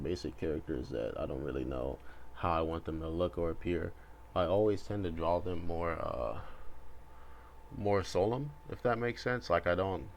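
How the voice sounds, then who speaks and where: Deep-voiced young man rambling casually. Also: gravelly. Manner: laid-back, unscripted, hesitant, thinking aloud. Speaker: video creator and host. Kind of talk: casual rambling chat while drawing. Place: bedroom at home, indoors. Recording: home recording with faint background noise.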